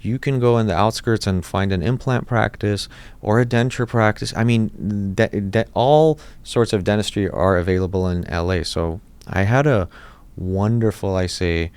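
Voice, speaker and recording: A man's voice: on the low side, moderate pace, darkish tone, clear, resonant tone, dry recording. A dentist in his thirties, born in Iraq and raised in Los Angeles, telling his story in a casual interview, with many restarts and fillers. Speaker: dentist, podcast guest.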